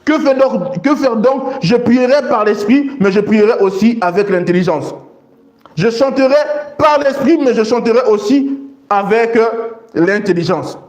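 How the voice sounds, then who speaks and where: A man's voice: very high-pitched, normal speed, darkish tone. A man preaching a sermon, reading and explaining scripture emphatically and repeating phrases for stress. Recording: echoey room sound.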